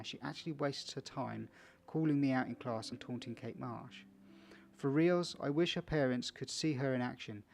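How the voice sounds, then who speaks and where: A man's voice: medium pitch, gravelly, darkish tone, slight background noise. A man playing a video game for viewers, casually reading its text aloud with small stumbles and chatty asides. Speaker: video game let's player.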